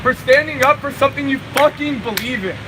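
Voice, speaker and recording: A man speaking loudly and emphatically to a protest crowd, adding jokes as he goes. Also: extremely high pitch, brisk. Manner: loud, joking, emphatic. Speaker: rally speaker. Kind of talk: addressing an outdoor protest crowd. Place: outdoor street rally. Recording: loud outdoor street noise.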